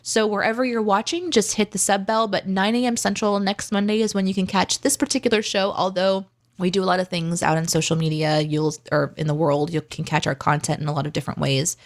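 A woman's voice: medium pitch, fairly even intonation, a little fast, few pauses. A woman co-hosting a podcast and live stream in a confident, chatty way, often saying "like". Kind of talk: casual chat.

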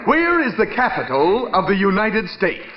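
Gushing game show host, hugely animated, showy patter, old radio comedy, crowd behind. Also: male voice, sits high, moderate pace. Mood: hearty, showy. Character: excitable, gushing male radio quiz show announcer. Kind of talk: 1950s radio comedy acting. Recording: echoey, muffled old radio, audience behind.

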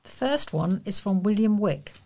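A woman's voice: mid-range voice, animated, normal speed, mellow. A woman reading local news stories aloud as a volunteer newsreader.

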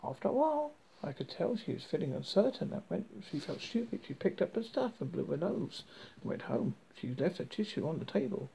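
A man with a husky, creaky voice reading a first-person story dramatically, haltingly and stumbling over words as a horror host. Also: high-pitched, very animated, mellow, a little hiss or hum, low-quality recording.

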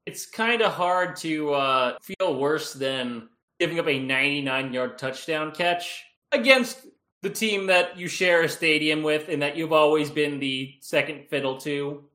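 Bright-voiced man, wry, in a roomy space. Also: a little high, a little slow. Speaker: podcast co-host. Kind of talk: casual chat.

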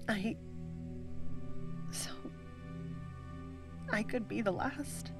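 Slow-spoken, frightened teenage girl. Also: a little high. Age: young adult. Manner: halting, hesitant, sighing. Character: lonely, frightened eighteen-year-old woman, perhaps last human. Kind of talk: audio drama personal log monologue.

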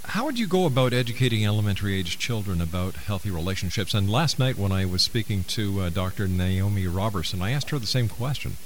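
Low-voiced man hosting a radio interview. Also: expressive, fast talker, few pauses. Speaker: radio talk show host. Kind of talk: interview conversation. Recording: faintly noisy radio interview audio.

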